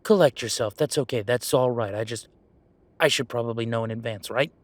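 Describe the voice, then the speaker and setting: A man playing a gruff, sarcastic merman who runs a tattoo shop, speaking in a halting, conversational audio roleplay style. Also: medium pitch, natural voice. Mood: irritated, sardonic. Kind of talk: naturalistic one-sided audio roleplay.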